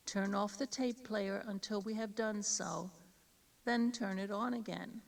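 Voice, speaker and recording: An American woman reading scripted tour directions in a measured, formal, instructional manner. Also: mid-range voice, gravelly. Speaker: audio tour guide giving directions. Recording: distant-sounding 1983 cassette tape.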